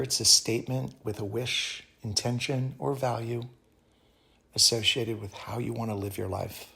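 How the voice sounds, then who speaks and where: Low-voiced man guiding a meditation. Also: vocal fry. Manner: calm, soothing, measured phrasing with pauses. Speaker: yoga teacher guiding meditation. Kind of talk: guided meditation.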